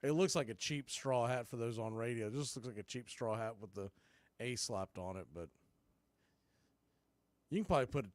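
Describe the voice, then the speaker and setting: A man calling a baseball game live as a play-by-play announcer, mixing clipped pitch calls with folksy, casual asides. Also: moderate pace, pauses often, a little mellow, no echo. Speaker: baseball play-by-play announcer for a fan radio stream.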